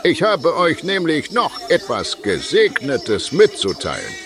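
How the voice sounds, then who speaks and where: A man's voice: fairly high pitch, wildly swooping pitch, measured pace, heavily acted. A man playing a pompous, easily flustered guru who addresses his followers in a declamatory, mock-solemn way in a comedic radio play. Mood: exasperated, announcing. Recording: loud crowd behind the voice.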